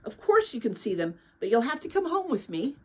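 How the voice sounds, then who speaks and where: A woman's voice: a little high, very animated, a little fast, slight background noise. A woman voicing a curious young girl in a storybook, eager and plain-spoken but easily discouraged. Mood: uncertain.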